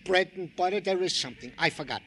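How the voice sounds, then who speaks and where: High-voiced, chatty police sergeant sidekick. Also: male voice, quick pace, fairly bright tone, slightly rough. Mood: reporting, wry. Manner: wordy, mock-formal, fussy. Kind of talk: 1940s-50s radio acting. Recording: noisy vintage radio recording.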